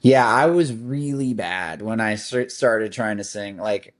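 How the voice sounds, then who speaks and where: A man's voice: on the low side, measured pace. A man, a band's singer, chatting casually as a podcast guest, rambling along with lots of "like" and "um". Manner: relaxed and rambling, with frequent "like" and "um". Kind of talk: casual interview chat.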